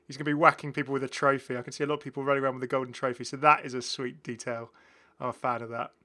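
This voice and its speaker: A man's voice: medium pitch, level tone, very fast, sharp tone. A British man giving casual, enthusiastic game commentary, rambling and often correcting himself as he goes. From United Kingdom. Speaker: gaming video host.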